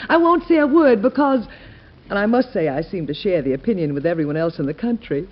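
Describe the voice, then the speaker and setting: An actress playing a fond, teasing president's wife in old radio drama style, playful and affectionate. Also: female voice, mid-range voice, lively intonation, fairly quick, close to an everyday voice. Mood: tender. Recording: dull, band-limited old radio recording.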